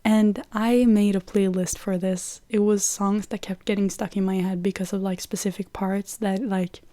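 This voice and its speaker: A woman's voice: medium pitch, level tone, normal speed, dark tone, dry recording. A young woman from Norway with a Norwegian accent, chatting casually and listing songs with lots of "like" fillers. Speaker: vlogger. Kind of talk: casual chat.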